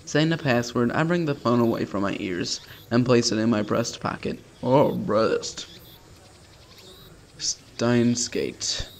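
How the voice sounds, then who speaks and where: Gravelly man reading a visual novel. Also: mid-range voice, slow pace, a little hiss or hum. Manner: self-deprecating, sweary, stumbling over words. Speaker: gaming video host. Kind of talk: casual visual novel read-aloud with offhand commentary.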